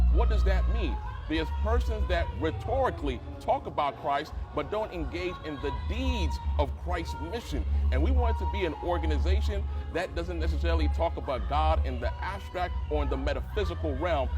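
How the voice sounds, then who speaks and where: A man from Ghana with an American accent, an interview guest answering in an oratorical, sermon-like way with numbered points. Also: fairly high pitch. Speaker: interview guest, lawyer and missionary.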